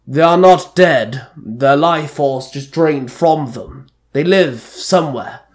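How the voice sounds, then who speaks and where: Solemn male spirit god, ancient, commanding, slow portentous pronouncements, storybook figure acted by a male reader. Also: on the high side. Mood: grave, commanding. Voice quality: bellowing. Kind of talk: amateur fan-fiction story reading.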